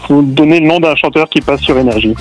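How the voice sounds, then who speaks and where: A man playing a radio quiz as contestant, relaxed and self-mocking in casual banter. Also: on the high side, fast, lo-fi sound, soft background music.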